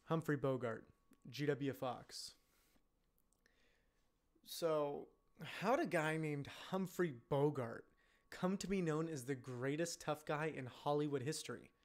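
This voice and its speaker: A man in his thirties reading scripted narration into a close desk microphone at home. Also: fairly high pitch. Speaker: amateur voice actor. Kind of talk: scripted narration, practice reads. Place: home room. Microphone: close desk microphone with pop filter.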